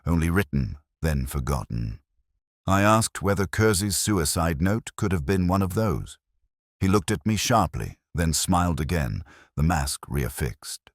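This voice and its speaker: A man reads a scripted first-person detective tale in a very deep voice. His delivery is measured and solemn, with a literary feel and expressive movement in pitch. The sound is dry, with no room around it. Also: no echo. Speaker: narrator.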